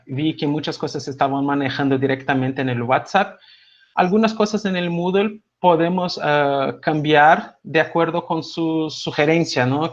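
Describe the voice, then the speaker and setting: A middle-aged man, non-native in Spanish, with a Mexico City accent tinged by Buenos Aires Spanish, teaching a seminar in a relaxed, conversational way full of hesitations and tag questions, over a video call. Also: a little high. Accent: Mexico City Spanish with some Buenos Aires Argentine. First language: not Spanish. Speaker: seminar instructor. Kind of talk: live online lecture. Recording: slightly dull lo-fi video call audio.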